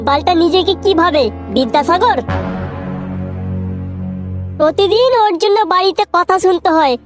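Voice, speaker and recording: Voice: very high-pitched, normal speed, over music. A performer voicing a cheeky, foul-mouthed schoolboy troublemaker in a comedy cartoon, with crude slangy banter. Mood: resentful.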